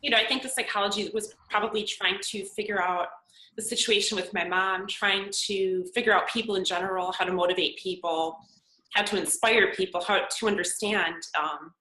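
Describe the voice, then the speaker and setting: A woman in her forties whose first language was Serbian tells her story in an earnest, reflective way, pausing on plenty of fillers. Her voice is bright and forward, and it rings in an echoey room over a remote call. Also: medium pitch, fairly even intonation. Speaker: podcast guest, makeup artist and model. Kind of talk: conversational interview answers.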